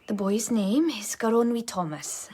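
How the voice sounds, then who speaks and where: An actress playing a motherly village midwife in a radio drama, speaking in a hushed, confiding manner. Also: fairly high pitch, a little slow, breathy edge. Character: motherly village midwife and foster carer, discreet, indignant.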